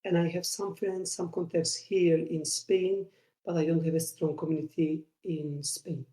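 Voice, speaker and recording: A man's voice: fairly high pitch, fairly even intonation, dark tone. Hesitant man. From southeast Turkey. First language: Kurdish. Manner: halting, many pauses and fillers. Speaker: podcast guest. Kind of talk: casual conversation. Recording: echoey room.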